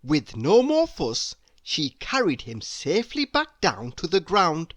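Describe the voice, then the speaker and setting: A woman reading a children's storybook aloud in an expressive narrating style. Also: fairly low pitch, unhurried, dry recording.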